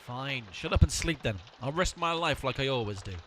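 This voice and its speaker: An actor playing the exasperated, sensible half of a comic duo in an amateur audio drama, bossy and long-suffering. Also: male voice, medium pitch, expressive, a little fast, very noisy, close to an everyday voice. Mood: tense, irritated.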